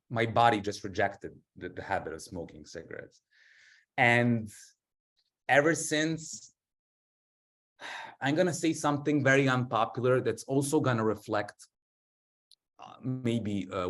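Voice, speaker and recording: Man, rambling and informal. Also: mid-range voice. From Serbia. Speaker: guest, author and breath work and meditation expert. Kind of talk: casual personal storytelling to an audience.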